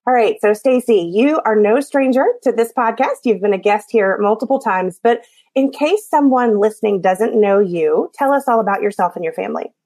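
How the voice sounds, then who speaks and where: A woman's voice: medium pitch, normal speed, darkish tone, dry recording. Woman host with an allergy-scratchy Appalachian voice. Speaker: podcast host. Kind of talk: scripted podcast intro and casual chat.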